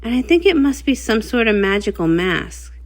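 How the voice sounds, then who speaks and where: High, very animated woman reader. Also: normal speed. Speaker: comic read-along reader. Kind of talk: animated storybook character reading.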